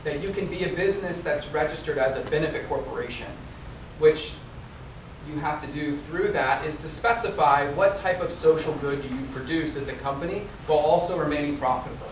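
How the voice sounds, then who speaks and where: A man's voice: on the high side, a little fast, far from the mic. Man presenting in an echoey lecture room. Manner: loose and conversational, many ums and restarts, asks the audience. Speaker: conference presenter. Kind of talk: conference presentation. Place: university lecture room with audience. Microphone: far room camera, no mic seen. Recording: echoey room recorded from afar, noisy.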